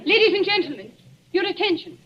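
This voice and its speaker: An actress in 1940s radio style playing a stately, gracious society lady making a formal, measured public address. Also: extremely high pitch, very animated, fairly bright tone, heavily acted. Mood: solemn. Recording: muffled, noisy 1940s radio recording.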